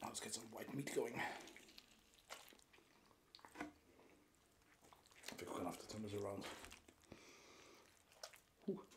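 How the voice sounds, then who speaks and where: A deep-voiced Austrian man hosts an ASMR eating video. His English carries a Viennese accent with a trace of Scottish. He chats calmly and casually between mouthfuls. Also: slow pace, pauses often, background noise. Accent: Viennese Austrian with a Scottish tinge. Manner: relaxed, chatting between bites. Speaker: mukbang host.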